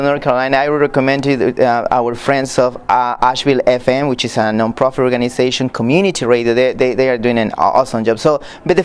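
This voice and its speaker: A man conducting a conversational interview in non-native English, often halting as he searches for words. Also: mid-range voice, clear, resonant tone. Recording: noticeable background noise.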